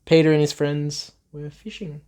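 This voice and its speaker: A man's voice: fairly high pitch, level tone, normal speed, heavy background noise. A man reading a Bible story aloud for children, expressively, in simple repeated phrases with questions to his young listeners.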